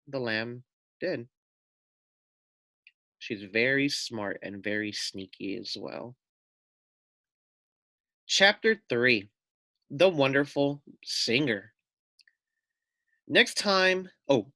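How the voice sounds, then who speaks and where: A man's voice: medium pitch, pushed and bright, no room sound. A man reading a children's story aloud expressively, with simple explanatory asides for young listeners.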